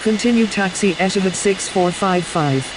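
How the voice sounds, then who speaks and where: A woman's voice: medium pitch, normal speed, lightly acted. A woman playing a businesslike airline pilot in a game, making clipped, scripted radio calls. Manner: clipped, formulaic phraseology. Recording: hissy recording over steady engine noise.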